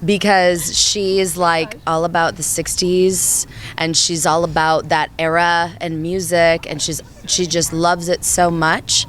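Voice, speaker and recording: A young woman with a raspy voice, a rhythm guitarist chatting casually in an interview. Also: on the low side, little pitch movement, a little slow, a little hiss or hum. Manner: casual, laughing easily, chatty.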